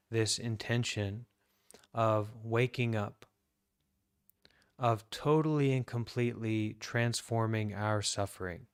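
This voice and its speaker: Slow-paced, smooth-voiced American man guiding meditation. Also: lowish voice, no room sound, studio quality. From United States. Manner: calm, deliberate, many pauses. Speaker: Buddhist monk leading guided meditation. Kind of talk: guided meditation talk.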